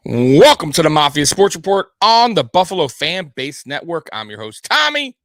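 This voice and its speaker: A man hosts a laid-back sports recap and drifts from one thought to the next as he answers his live chat. He sounds a little tipsy. His voice is bright and forceful, recorded dry and close with no room echo. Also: fairly high pitch, big pitch swings, normal speed. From Detroit area. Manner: loose, rambling, talks to the chat. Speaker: host. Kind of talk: casual sports recap show.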